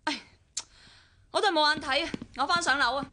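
A woman's voice: sits high, a little fast, bright, forceful tone, a little hiss or hum. An actress playing an older sister with a Hong Kong Cantonese accent, complaining and gossipy about her brother. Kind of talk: 1990s radio drama acting.

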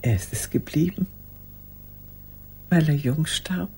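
An older actress playing a lonely, pleading old woman in a radio play monologue, halting and intense, with a husky voice. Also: sits low, animated, darkish tone, full character voice. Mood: wistful.

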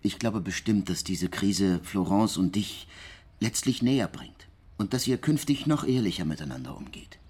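An actor playing an earnest male friend in a German radio drama, with a slightly husky voice and a measured, counselling manner. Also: low-pitched, expressive, a little mellow. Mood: earnest reassurance.

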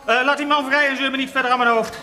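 A man's voice: extremely high pitch, fairly even intonation, exaggerated voice. An actor voicing a lecherous, imperious old prince-elector in a radio drama, leering and drawing out his words with erratic swings. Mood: imperious, impatient. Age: older. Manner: leering, drawn-out, erratic swings from cooing to shouting. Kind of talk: theatrical Dutch radio drama acting. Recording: long reverberant hall with music behind.

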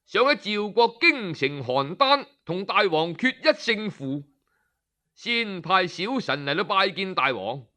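A man telling a traditional historical tale in Cantonese, with a Cantonese accent, slowly and expressively acting out the characters' speeches. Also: high-pitched. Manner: animated, slow, voices the characters' speeches. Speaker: storyteller. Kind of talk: Cantonese oral storytelling.

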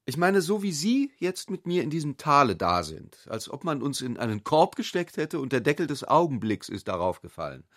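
An actor playing an ironic, provocative intellectual gentleman in a literary radio drama, musing in short, searching phrases. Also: a little high, big pitch swings, moderate pace, few pauses.

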